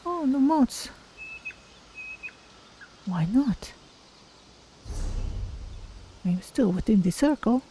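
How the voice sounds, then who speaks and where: A woman's voice: a little high, lively intonation, slow, dark tone, a little hiss or hum. A woman voicing a female Khajiit cat-person adventurer in a game, musing to herself in short phrases. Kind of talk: in-character game stream commentary.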